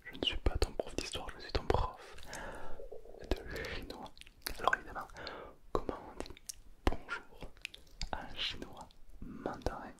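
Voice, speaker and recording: Whispering slow man. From southern France. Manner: playful, joking asides. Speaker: Chinese language teacher. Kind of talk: whispered ASMR lesson.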